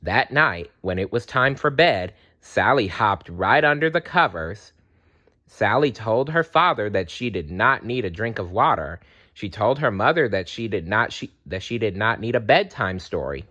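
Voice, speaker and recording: A man narrating a children's story aloud in an expressive storytelling style. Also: on the low side, normal speed.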